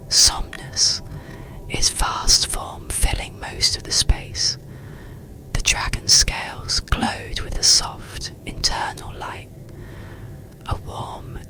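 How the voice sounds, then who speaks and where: A narrator reading a bedtime story in a soothing, gentle, breathy ASMR whisper, with deliberate phrasing. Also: rumbling low, rough recording.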